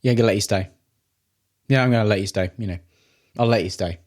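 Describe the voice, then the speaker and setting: A man's voice: lowish voice, fast, darkish tone. Sarcastic, sweary man reacting to clips. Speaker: reaction video host. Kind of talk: casual reaction commentary.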